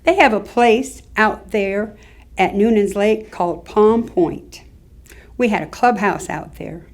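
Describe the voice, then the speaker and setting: A woman's voice: medium pitch, takes it slowly, lightly acted. An actress performing an older Southern woman's memories in a staged reading, with understated wry humor. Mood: wry, nostalgic. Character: older Southern woman recalling youthful outings, wryly humorous. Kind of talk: staged reading of oral history recollections.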